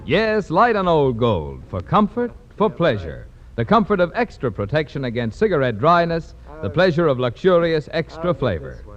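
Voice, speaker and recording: An American man announcing a 1940s radio commercial with a polished sales pitch and emphatic phrasing. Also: wildly swooping pitch, moderate pace, faint music behind. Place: radio studio hall during a rehearsal. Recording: muffled 1940s radio recording, echoey hall.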